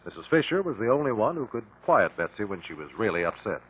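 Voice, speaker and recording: Low, husky American investigator. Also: male voice, big pitch swings. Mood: explanatory. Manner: measured, formal, precise. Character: calm, precise male investigator piecing out truth. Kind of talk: 1950s radio drama acting. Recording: muffled 1950 radio recording.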